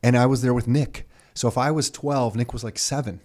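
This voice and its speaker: A man's voice: mid-range voice, fairly even intonation, fairly quick, a little mellow. A Canadian man co-hosting a podcast, telling a story in a rambling, self-correcting way and laughing as he goes. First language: Croatian. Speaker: podcast co-host. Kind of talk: casual storytelling chat.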